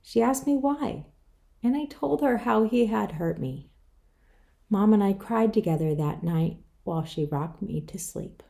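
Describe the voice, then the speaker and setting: A woman's voice: medium pitch, expressive, measured pace, gravelly, dark tone. A woman author reading her own children's book aloud, telling it in the first person. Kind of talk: scripted children's story read-aloud.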